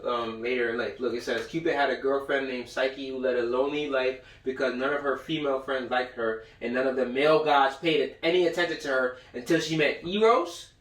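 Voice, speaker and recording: A man delivers an informal lecture from a little way off the mic in an echoey room. He moves between reading aloud and blunt, profane commentary, full of slang and repeated phrases. His voice sits at a medium pitch. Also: fairly quick, few pauses, a bit bright. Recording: roomy echo, far from the mic.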